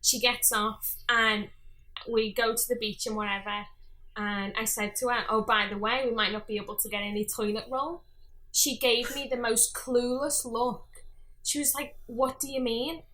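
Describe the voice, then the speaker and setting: A woman in her early twenties with a Scouse accent, telling anecdotes in casual, animated podcast chat. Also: on the high side, moderate pace, slight background noise, poor audio. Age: early 20s. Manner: animated storyteller who acts out dialogue. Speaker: podcast guest.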